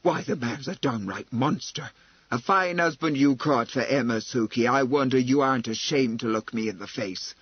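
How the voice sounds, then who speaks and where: A man voicing an indignant, protective middle-aged woman who scolds bluntly and forcefully in a novel reading. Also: medium pitch, very slow, few pauses, slightly rough, dry recording, dull audio. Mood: scornful, forceful.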